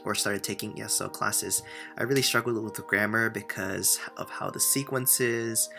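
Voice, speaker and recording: A young university student from Japan, whose first language is Japanese, answers interview questions earnestly, with plenty of filler sounds between thoughts. His voice sits low and gravelly, with a bright edge. Faint music plays behind him. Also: fairly even intonation, moderate pace. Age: young adult.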